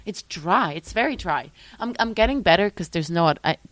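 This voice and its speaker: Low-voiced young woman, dry sound. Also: a little fast, no room sound, low-quality recording. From Switzerland. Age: young adult. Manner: animated, trails off and restarts often. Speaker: musician guest. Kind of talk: casual interview chat.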